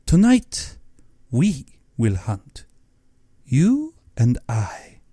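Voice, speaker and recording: Audiobook villain: courtly aristocratic hunter general, played by a male narrator, high-swooping, unhurried, theatrical, gleefully menacing. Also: huge pitch swings, mellow, breathy edge, no room sound, studio quality, heavily acted. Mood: gleeful, sinister. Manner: courtly, theatrical, savoring his words. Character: urbane, cultured aristocratic general, gleefully sinister big-game hunter.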